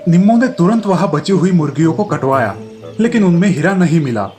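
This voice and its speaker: A man's voice: on the high side, animated, a little fast, mellow. A man narrating a scripted comic story for children as a storyteller. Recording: music behind the voice.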